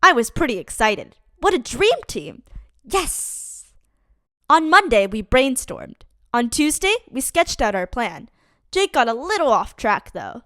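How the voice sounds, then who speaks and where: Lively woman reading a kids' story. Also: on the high side, measured pace, no room sound, studio quality. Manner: lively, expressive reading for kids. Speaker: children's book reader. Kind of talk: read-aloud storytime.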